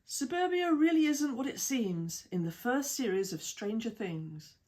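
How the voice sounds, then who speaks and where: A woman with a British accent reading an article aloud in a measured, even way, with lists of titles and dates. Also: on the high side. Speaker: reader of an article. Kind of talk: read-aloud essay narration.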